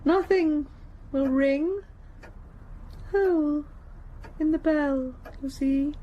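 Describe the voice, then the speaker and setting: A woman's voice: high-pitched, extremely slow, dull audio. An actress playing a confused, rambling elderly widowed mother in a radio drama, giving vague, repetitive, disjointed answers. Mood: vague, wandering.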